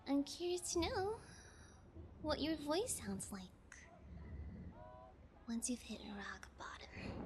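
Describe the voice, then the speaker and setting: A woman's voice: high voice, darkish tone, a little hiss or hum, heavily acted. A woman playing a sadistic, playful female kidnapper in an intimate ASMR audio roleplay, teasing with a sing-song menace. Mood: menacing, curious.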